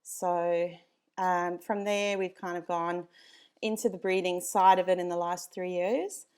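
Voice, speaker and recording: A woman's voice: fairly low pitch, steady tone, a little slow, darkish tone, clear, resonant tone. A woman with a South African accent, a dog breeder chatting casually as a podcast guest, with frequent ums and easy laughs.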